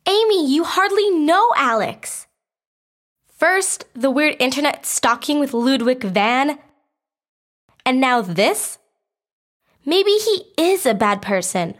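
A woman's voice: high-pitched, expressive, takes it slowly, long pauses, pitched above the performer's own voice. A woman voicing a wary, skeptical and nervous teenage girl in an audiobook story. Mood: skeptical, warning. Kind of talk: audiobook character dialogue.

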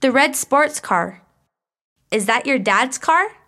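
A woman's voice: high voice, expressive, slow, sharp tone, voice pushed up, full character voice. A woman voicing an earnest, loyal, anxious teenage schoolgirl in an audiobook story. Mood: curious, surprised. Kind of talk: audiobook character dialogue.

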